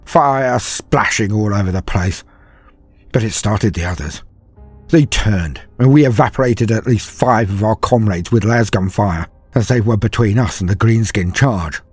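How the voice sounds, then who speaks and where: A man playing a frightened, haunted far-future soldier, telling his horror story in a dramatic first-person monologue with brooding pauses and shifts from hushed to emphatic. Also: on the low side, breathy edge, faint music behind. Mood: grim, intense. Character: frightened, haunted far-future soldier, former factory worker, recalling terrors.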